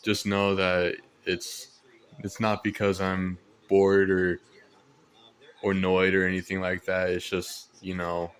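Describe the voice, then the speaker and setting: Male hobbyist, American, fairly low-pitched, flat monotone delivery, casual and unhurried show-and-tell. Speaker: radio hobbyist presenter.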